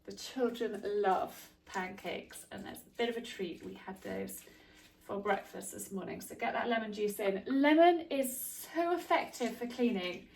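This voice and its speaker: A woman's voice: mid-range voice, measured pace, creaky, darkish tone, echoey room, poor audio. Congested woman in her kitchen. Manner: chatty, homely, friendly asides. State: congested, bunged up from hay fever. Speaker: home tips vlogger. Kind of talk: casual how-to chat to camera. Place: home kitchen.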